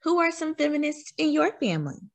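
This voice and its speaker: A woman with a husky voice reading a children's picture book aloud expressively, putting questions to young listeners. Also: high-pitched, big pitch swings, a little fast, no room sound. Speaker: read-aloud storyteller for children.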